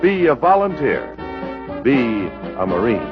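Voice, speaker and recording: An American man announcing in a formal, polished 1940s radio style with a scripted recruiting pitch. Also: huge pitch swings, unhurried, gravelly. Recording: dull vintage radio broadcast, music behind.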